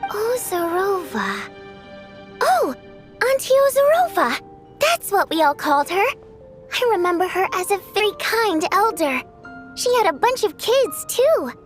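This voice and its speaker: A woman playing a young, soft-hearted girl in a video game, sincere, curious and childlike. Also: very high-pitched, big pitch swings, measured pace, heavily acted. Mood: fond. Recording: music under the voice. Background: game music.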